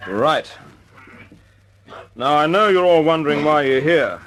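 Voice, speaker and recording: Squadron leader, noisy old recording. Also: male voice, a little high, expressive, measured pace. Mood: firm, commanding. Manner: clipped, authoritative, informal with his men. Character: brisk, commanding wartime RAF squadron leader. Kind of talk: old-time radio war drama acting.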